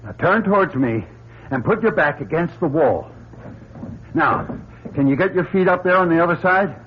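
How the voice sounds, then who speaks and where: A man's voice: fairly high pitch, expressive, moderate pace, lightly acted. An actor playing a resolute man leading trapped companions in a radio drama, giving urgent, commanding instructions. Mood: firm, coaching. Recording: dull radio recording with faint hum.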